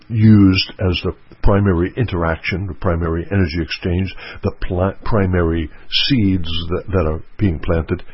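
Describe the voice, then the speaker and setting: A man giving an earnest motivational teaching talk, repeating his key points slowly. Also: sits low, breathy edge, dry recording, dull audio. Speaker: self-help speaker.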